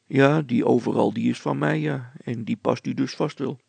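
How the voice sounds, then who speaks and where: A man's voice: medium pitch, fairly even intonation, normal speed, vocal fry, a little mellow, husky, no echo. A man voicing a sober, patient, doubting village smith in a story, with a dry, hesitant manner.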